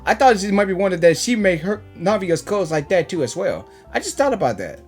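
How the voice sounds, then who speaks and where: A woman's voice: medium pitch, very animated, fairly quick, darkish tone. A woman giving casual, rambling, giggly reaction commentary on a video game. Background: game music.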